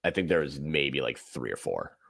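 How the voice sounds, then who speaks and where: A man appearing as a guest on a soccer podcast, talking in a thoughtful, measured way and restating his points as he goes. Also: very deep voice, very animated, creaky, fairly bright tone, slightly rough. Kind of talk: casual soccer podcast chat.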